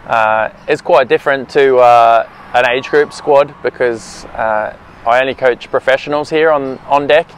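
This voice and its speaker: A man in his forties, a triathlon coach, explaining thoughtfully with many pauses beside an outdoor pool on a lapel mic. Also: medium pitch, slight background noise. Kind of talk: interview explanation.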